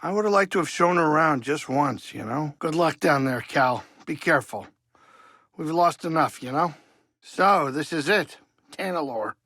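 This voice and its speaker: An actor playing a grumbling but warm-hearted older alien pilot and cook in a video game, with a gravelly voice and a folksy, wisecracking, fussy way of talking. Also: male voice, fairly high pitch, lively intonation, moderate pace, no room sound, studio quality, heavily acted. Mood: somber, concerned. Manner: folksy, wisecracking, fussy, colloquial streetwise talk. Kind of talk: video game character dialogue.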